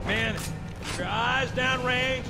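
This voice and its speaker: A man playing a gruff, swaggering marine sergeant in a video game, barking folksy orders to his troops. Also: very high voice, slow, over loud music, exaggerated voice. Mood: rallying, cocky. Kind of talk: military video game cutscene acting.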